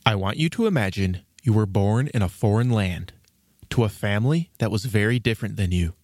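A man's voice: on the low side, lively intonation, fairly quick, a little mellow. A man hosting and narrating a scripted children's storybook programme in a theatrical storytelling manner. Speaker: host and narrator.

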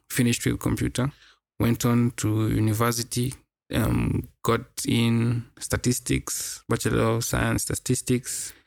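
A young man with a Kenyan accent hosts a casual solo podcast in a gravelly, mid-pitched voice. The recording is dry and close, and he talks in loose slang, doubling up words for emphasis. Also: no echo. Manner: slangy, repeats words for emphasis, mixes in Swahili phrases. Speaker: podcast host.

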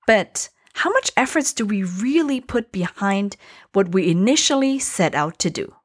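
A woman hosting a podcast, reading a scripted, upbeat wrap-up and asking listeners questions. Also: medium pitch. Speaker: podcast host.

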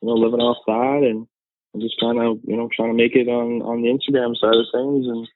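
A man's voice: medium pitch, level tone, fairly quick. A man in his thirties with a Canadian accent, a podcast guest chatting casually in a rambling way with lots of fillers. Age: 30s. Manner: rambling, many fillers and laughs. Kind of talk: casual chat. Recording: tinny phone-like line.

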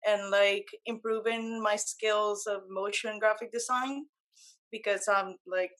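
A woman's voice: mid-range voice, fairly even intonation, measured pace, fairly bright tone. Young woman with a Puerto Rican accent. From Puerto Rico. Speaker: guest, photographer and motion graphic designer. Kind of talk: casual interview answers.

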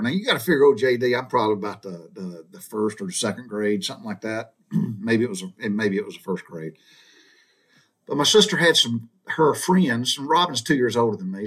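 A man's voice: medium pitch, fairly bright tone, slightly rough. A man from Georgia with a Southern accent, telling his own childhood stories in a folksy, meandering way, often restarting his sentences and wandering into asides. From Georgia, USA. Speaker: storyteller recounting his childhood. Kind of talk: casual spoken storytelling.

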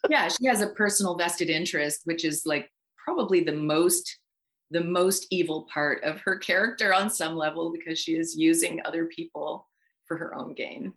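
A woman's voice: fairly low pitch, normal speed, a bit bright. A woman, an actress speaking casually as an interview guest. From Ontario, Canada.

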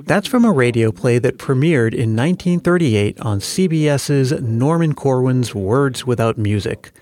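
A man's voice: medium pitch, animated, a little slow, a little mellow. An American man narrating a scripted podcast as its host. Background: faint music.

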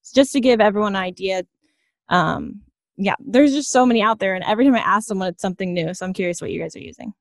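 A woman's voice: mid-range voice, fast talker, no echo, studio quality. A woman hosting a casual interview in a chatty, agreeable way. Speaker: interviewer, host.

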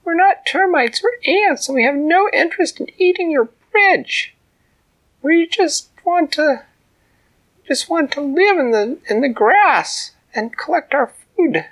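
A man voicing a tiny, brave female ant who talks high and earnest. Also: expressive, measured pace, exaggerated voice, poor audio. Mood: earnest pleading. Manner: shouts up high and earnest, pleading her case.

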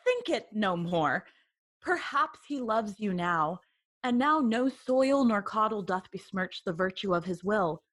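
Earnest, admonishing older sibling, classical audio drama. Higher voice, very animated, theatrical, dry recording. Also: female voice, a little slow, no echo. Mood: earnest warning. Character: Laertes, protective, earnest, cautioning older sibling. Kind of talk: Shakespearean audio drama acting.

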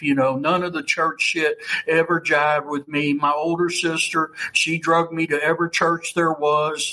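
Interview guest: man, fifties, Kentucky accent, forceful and fairly high voice, blunt, salty, casual storytelling. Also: rough recording. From United States. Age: 50s. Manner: blunt and salty, checks in often with "okay?" and "you know". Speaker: interview guest, ayahuasca ceremony leader.

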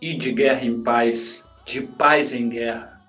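Man reciting verse slowly and deliberately. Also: medium pitch, steady tone, a bit bright, soft background music, poor audio. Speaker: guest reciting lyrics.

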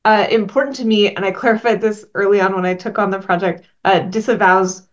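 A woman's voice: mid-range voice, fairly even intonation, brisk, highs cut off. A woman being interviewed as a comic writer, earnestly explaining her work at length with frequent ums. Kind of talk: thoughtful casual interview talk.

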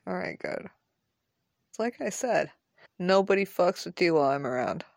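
Woman doing a sweary blunt protective dude, rambly and emphatic, audio drama, lowish. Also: expressive, moderate pace, vocal fry, dry recording, studio quality. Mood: protective, joking. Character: foul-mouthed, blunt but protective man. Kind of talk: naturalistic audio drama dialogue.

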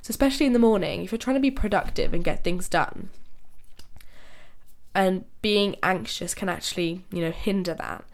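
A woman's voice: mid-range voice, moderate pace. A young woman hosting her own podcast, sounding nasal because she is ill, chatting casually, laughing often and restarting sentences. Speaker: podcast host. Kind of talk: casual solo podcast talk.

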